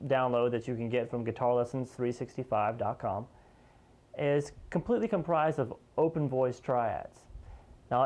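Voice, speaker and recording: A man teaching guitar in an informal, conversational way, explaining step by step and pausing as he points out each note. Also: medium pitch, darkish tone. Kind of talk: informal instructional lesson, demonstrating step by step.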